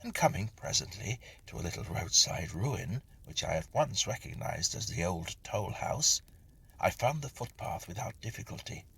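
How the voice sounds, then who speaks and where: Male narrator for gothic tales: lowish, breathy, husky voice, animated pitch movement, hushed dramatic storytelling. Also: normal speed, a little hiss or hum. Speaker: narrator reading a short story aloud. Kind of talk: scripted narration of a Victorian ghost story.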